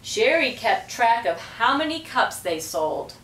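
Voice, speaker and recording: Middle-aged woman, storybook reader: unhurried, animated, roomy distant-mic sound. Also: fairly high pitch, slight background noise. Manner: measured, expressive storytelling. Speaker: school principal reading aloud. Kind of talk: storybook read-aloud. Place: small indoor room with block walls. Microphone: distant camera microphone. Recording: roomy, distant camera microphone.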